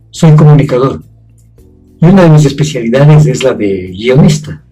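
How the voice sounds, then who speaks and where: A man's voice: medium pitch, warm tone, husky, poor audio. A man, a scriptwriter, giving a prepared testimonial in formal, elaborate wording. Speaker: communicator and scriptwriter.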